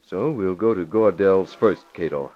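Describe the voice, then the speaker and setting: An actor playing a masked crime-fighting young publisher in a 1940s radio drama, clipped and commanding. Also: male voice, low-pitched, a little slow. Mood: determined. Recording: dull lo-fi old radio recording.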